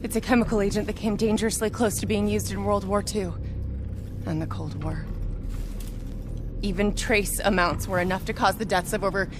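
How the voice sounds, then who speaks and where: An actress playing a brave, focused and selfless special-ops soldier in a dramatic video game cutscene. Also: female voice, mid-range voice, steady tone, normal speed, noisy background, natural voice. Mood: focused, grave. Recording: game music behind the voice.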